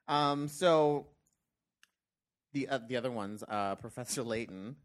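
A man's voice: medium pitch, slow pace. A man hosting a casual group podcast, playful and giggly. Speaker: podcast host.

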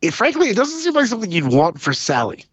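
A man co-hosting a podcast in casual chat, his voice a little rough from a cold. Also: high voice, fast, lo-fi sound. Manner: relaxed, conversational, lively intonation. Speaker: podcast co-host.

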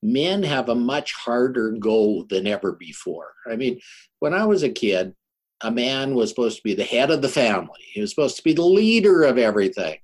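An older man hosting a show, introducing and interviewing his guest with emphatic, deliberate phrasing. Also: medium pitch, big pitch swings. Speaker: show host.

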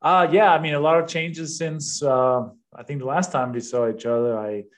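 A man's voice: fairly high pitch, steady tone, normal speed. A man in his fifties from Denmark, with a Danish accent, talking informally as a guest on a podcast. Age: 50s. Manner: conversational, informal. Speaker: podcast guest, real estate investor. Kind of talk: casual interview chat.

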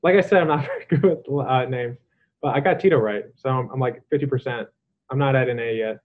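A man's voice: medium pitch, fairly quick. A man hosting a podcast interview, rambling in casual chat with many fillers and restarts. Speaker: podcast host. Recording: tinny phone-like line.

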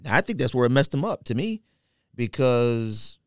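A male podcast co-host chats casually in a mid-pitched voice that moves around a lot. He rambles and repeats himself in loose slang, giving his opinions. The sound is dry, with hardly any room in it. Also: sing-song, moderate pace, clean tone, no room sound. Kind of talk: casual opinionated sneaker chat.

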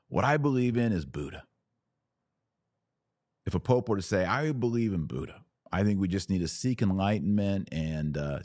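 An American man hosting a podcast, giving conversational commentary in an emphatic way with deliberate phrasing and pauses. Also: low voice, a little mellow, no echo, studio quality. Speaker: podcast host. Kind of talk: conversational commentary answering listener questions.

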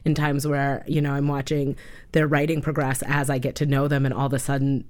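Low-voiced woman asking thoughtful questions. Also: little pitch movement, brisk, darkish tone. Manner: thoughtful, probing questions with fillers. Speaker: interviewer and writing teacher. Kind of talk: casual interview questions.

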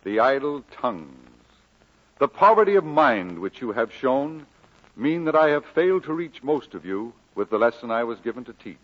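A man's voice: medium pitch, big pitch swings, moderate pace. A man acting an embittered preacher in an old radio drama, solemn and oratorical as he rebukes his congregation. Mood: indignant, bitter. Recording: dull old 1940s radio recording.

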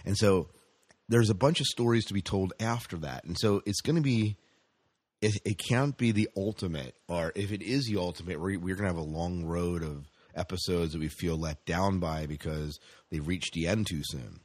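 Low-voiced man chatting on a podcast. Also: normal speed, darkish tone, no room sound. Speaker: podcast co-host leading the discussion. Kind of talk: casual TV discussion chat.

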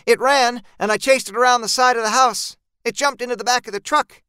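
Man voicing a high-pitched, insistent woman monster hunter. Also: fairly even intonation, brisk, sharp tone, clean tone, no echo, clean studio sound. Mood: urgent, defensive.

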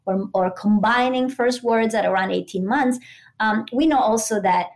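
A woman's voice: fairly high pitch, moderate pace. Middle aged italian lady w italian accent, native italian speaker, chatting thru explanations as an expert guest. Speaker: interview guest, expert on multilingual children.

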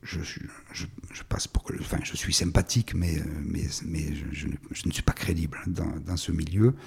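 Gravelly, deep southern French man. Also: fairly even intonation. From southern France. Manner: halting, many restarts and fillers. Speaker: filmmaker. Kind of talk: recounting his career.

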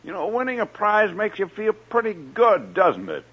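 A man's voice: high-pitched, huge pitch swings, normal speed, breathy edge. A man hosting and telling stories on a 1950s children's radio show in a hearty, folksy way. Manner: hearty, folksy address to young listeners. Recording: dull old radio recording with music.

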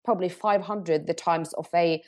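Woman, a bit low-pitched. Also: steady tone, dark tone. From Norway. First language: Norwegian. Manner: thoughtful, pauses to search for words and rephrases. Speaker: agency CEO, guest. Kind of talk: podcast interview answers.

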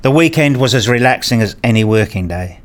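A man's voice: medium pitch, expressive, a little fast, lightly acted. A man acting in a radio play as a weary, wryly self-mocking academic father who narrates his own story. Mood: weary, reflective. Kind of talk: first-person narration and acted dialogue.

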